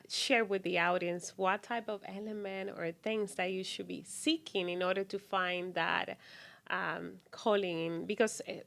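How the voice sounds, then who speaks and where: A woman's voice: medium pitch, creaky, a bit bright. A woman hosting an interview show, effusive and rambling through her questions with fillers. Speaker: host.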